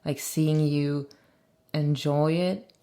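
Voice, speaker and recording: Swedish-accented woman, low and gravelly, friendly co-commentator who keeps agreeing. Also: fairly even intonation, warm tone. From Sweden. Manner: chatty, quick to agree, laughs often. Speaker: actress and co-commentator. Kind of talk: casual commentary chat.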